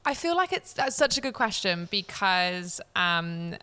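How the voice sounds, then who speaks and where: Bright, clear-voiced chatty woman. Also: lowish voice, very animated, measured pace. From born in New Zealand, raised in Samoa and Cornwall, UK. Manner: chatty, enthusiastic, many fillers like "um" and "like". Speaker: podcast guest, head of marketing. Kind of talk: casual interview chat. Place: coworking space.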